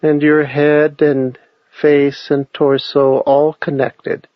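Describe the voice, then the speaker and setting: A man leading a guided meditation with calm, measured instruction and long pauses between phrases. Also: a little high, dry recording, dull audio.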